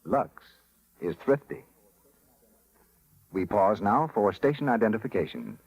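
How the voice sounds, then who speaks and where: An American man announcing in scripted 1930s radio style with a husky voice. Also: lowish voice, lively intonation, a little slow, darkish tone, highs cut off. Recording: old 1930s radio broadcast.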